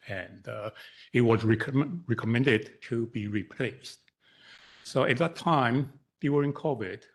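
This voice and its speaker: Casting: older man with a Taiwanese accent, husky and fairly low, a halting engineer briefing a council. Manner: halting, frequent fillers and repeats. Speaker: consulting engineer. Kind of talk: presentation to council. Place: council chamber.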